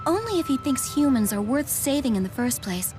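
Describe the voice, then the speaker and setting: High-voiced, composed scholarly advisor. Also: female voice, big pitch swings, brisk, over music. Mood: analytical. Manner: precise, explanatory. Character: composed, scholarly, matter-of-fact woman advisor. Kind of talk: video game voice acting.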